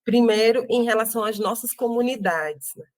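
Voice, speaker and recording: A woman with a Northeastern Brazilian accent, an interview guest speaking thoughtfully with many pauses and tag questions. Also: fairly high pitch, dry recording. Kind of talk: reflective interview talk.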